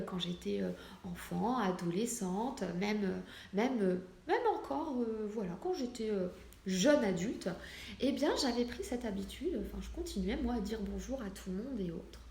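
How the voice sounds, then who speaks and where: A woman's voice: mid-range voice, a little mellow. A woman in her forties speaking in an earnest, emphatic and conversational way, as in a casual motivational vlog. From France, Portuguese family background. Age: 40s. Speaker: medium addressing online followers. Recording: roomy echo, clear background noise.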